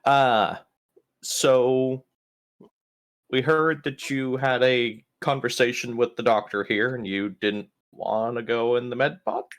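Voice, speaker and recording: A player in a tabletop roleplaying game, improvising casual in-character dialogue in halting, hesitant phrases. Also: mid-range voice, fairly even intonation.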